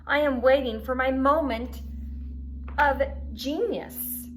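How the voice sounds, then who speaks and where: A vain storybook unicorn, put on by a woman reading aloud, speaks with grumpy self-importance. He is dismissive and projects more loudly and higher than plain narration. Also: slow, a little hiss or hum. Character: vain, grumpy male unicorn who wants to write. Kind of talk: children's storybook read-aloud.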